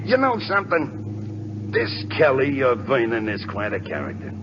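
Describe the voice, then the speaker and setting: A man's voice: medium pitch, very animated, normal speed, natural voice. A man playing a brash, good-hearted, slangy big league ballplayer in an old-time radio comedy. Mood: chummy, frank. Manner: streetwise slang, wisecracking. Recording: noisy muffled old radio broadcast recording.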